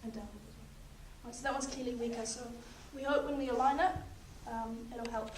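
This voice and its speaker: High-voiced young woman, losing her voice. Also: brisk. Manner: calm, instructive, talks the patient through each step. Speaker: paramedic student in a practical exam. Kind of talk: narrating a clinical skills demonstration. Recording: echoey, distant, noisy room.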